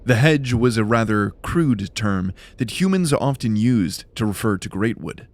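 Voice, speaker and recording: A man's voice: on the low side, expressive, measured pace. A man reading scripted, dramatic narration for a fantasy audio drama.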